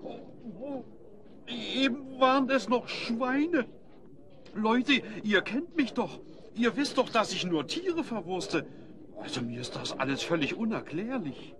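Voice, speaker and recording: A man playing a bewildered innkeeper and butcher in an audio drama, pleading in a drawn-out, flustered way. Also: very high-pitched, expressive, a crowd in the background, exaggerated voice.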